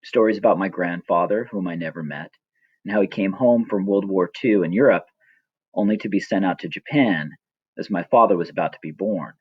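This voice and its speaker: A middle-aged man reading his own personal story as scripted narration, reflective, measured and gently wry. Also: low-pitched, breathy edge, dry recording, muffled recording.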